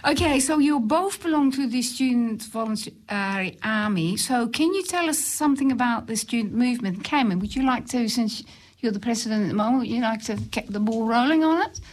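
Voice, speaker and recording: Dutch lady doing radio host stuff, voice a bit on the high side, interviewing in a studio. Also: animated, brisk, rough recording. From Netherlands.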